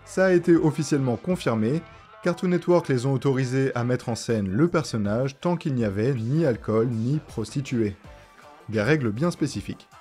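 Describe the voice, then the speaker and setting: Male video essayist, soft-edged mellow tone, informal direct address, light music underneath. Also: mid-range voice, animated, moderate pace. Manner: informal, rhetorical questions, direct address to viewers. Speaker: host of a cartoon video essay channel. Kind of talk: conversational scripted video essay narration. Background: background music.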